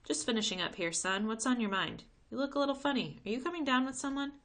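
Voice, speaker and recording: Casting: relaxed cop dad for a storybook, plainly explaining things to his child, read by a woman. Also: a little high, fast talker, pitched above the performer's own voice. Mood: friendly. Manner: casual, explanatory. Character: easygoing, matter-of-fact father, a policeman.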